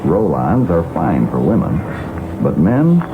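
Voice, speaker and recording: A man giving a conversational radio commercial pitch in a 1960s style, on an old radio recording. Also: huge pitch swings, takes it slowly, vocal fry, phone-quality sound, heavily acted. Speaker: commercial announcer. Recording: noisy, band-limited old radio recording.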